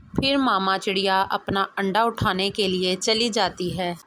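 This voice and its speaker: A woman's voice: mid-range voice, fairly even intonation, unhurried, fairly bright tone, no echo. Woman, mid-range voice, scripted storytelling, children's moral tale narrator.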